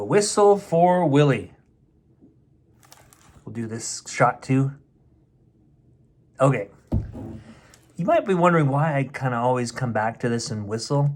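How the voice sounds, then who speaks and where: A middle-aged man reading a picture book aloud as a storyteller, with playful, chatty asides aimed at children. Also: medium pitch. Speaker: storyteller, host of a bedtime story show.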